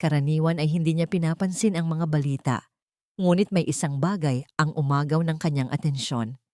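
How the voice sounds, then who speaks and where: A woman's voice: sits low, a little fast, darkish tone, no room sound, studio quality. A woman reading a scripted dramatic story as an audiobook narrator.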